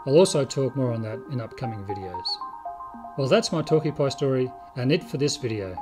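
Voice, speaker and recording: An older man, around sixty, with a slightly husky voice, giving a measured, scripted explainer as a video host. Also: mid-range voice, animated, music in the background. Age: older, about 60. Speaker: video host, 3D print designer.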